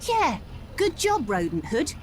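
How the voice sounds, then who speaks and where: An actress playing a tough, sarcastic young rat boat captain with a British accent, brash and no-nonsense, in a video game. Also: female voice, sits high, wildly swooping pitch, unhurried, background noise, full character voice. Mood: scolding.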